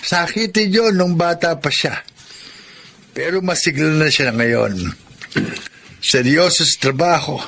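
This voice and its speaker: A man playing a gentle, wistful old Filipino grandfather, reminiscing haltingly in a melodramatic audio drama. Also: fairly high pitch, expressive, vocal fry, slight background noise, full character voice. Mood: fond reminiscing. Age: older. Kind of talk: Filipino radio-style melodrama acting. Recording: background music under the voice.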